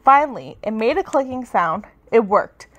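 A woman reading a children's story aloud with expressive, dramatic, emphatic delivery. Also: on the high side, moderate pace. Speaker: storybook reader.

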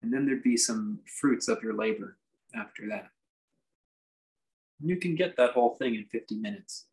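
A man teaching a guided meditation, speaking in measured, halting phrases with reflective pauses. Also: medium pitch, animated, a little mellow, poor audio. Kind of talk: guided meditation, unscripted instruction.

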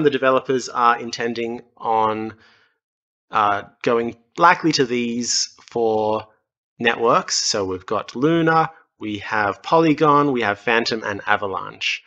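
A young man with an Australian accent talks his viewers through a screen share in a loose, self-deprecating way, with plenty of ums. His voice is bright and forward at a middling pitch. The recording sounds a little dull and lo-fi, like a basic desk mic. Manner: chatty and self-deprecating, with many fillers. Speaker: YouTube host. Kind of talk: casual screen-share walkthrough.

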